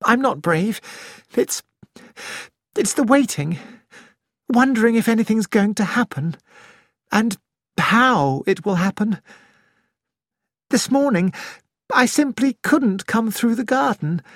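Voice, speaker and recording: Scared young woman, as the reader puts her on, quick shaky lines, very dry close sound. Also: mid-range voice, lively intonation, no echo, voice pushed up. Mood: anxious. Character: frightened young woman, brittle brave front.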